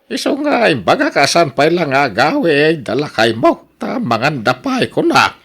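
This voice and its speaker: Lively older Ilocano uncle, played by a woman. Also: deep voice, normal speed, breathy edge, full character voice. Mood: apologetic. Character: genial older uncle, employer who hosts drinks. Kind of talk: radio drama acting.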